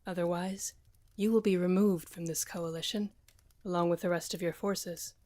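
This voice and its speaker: A woman playing a loyal, commanding senior general in a fantasy audio roleplay, speaking with firm, measured authority. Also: on the low side, fairly even intonation, dark tone, lightly acted. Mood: stern.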